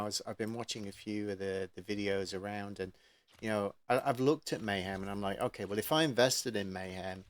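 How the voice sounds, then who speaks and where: A man hosting a gaming channel, chatting casually with a guest in an informal interview. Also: on the low side.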